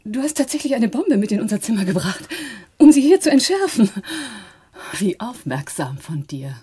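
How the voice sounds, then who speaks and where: A woman's voice: fairly high pitch, very animated, moderate pace, warm tone, slightly rough, heavily acted. An actress playing a cool, ironic woman who partners a criminal in a crime radio drama, wry and self-assured. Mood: sarcastic.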